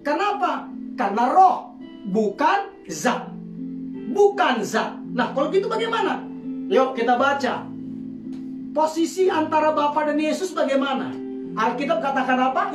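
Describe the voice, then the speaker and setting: A woman's voice: high-pitched, lively intonation, moderate pace. A woman from Medan preaching a sermon, firing rhetorical questions and calling for amen responses. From Medan, Indonesia. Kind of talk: sermon teaching. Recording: echoey room, distant mic, music behind. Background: guitar music.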